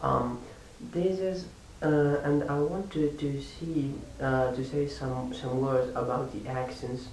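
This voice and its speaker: A man's voice: medium pitch, steady tone, a little mellow. Male, non-native accent, hesitant repetitive phrasing, informal vlogger talk, distant mic, faint background noise. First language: not French or English. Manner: halting, repeats words while searching for phrasing. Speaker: amateur language-learning vlogger. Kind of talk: casual unscripted vlog talk. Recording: echoey room, distant mic, faint hiss.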